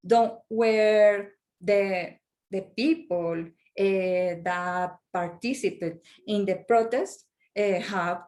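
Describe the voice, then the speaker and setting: A woman's voice: mid-range voice, darkish tone. A woman from Colombia with a Colombian Spanish accent whose first language is Spanish, speaking hesitantly in a discussion and searching for English words between many fillers. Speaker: workshop participant. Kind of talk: halting discussion contribution.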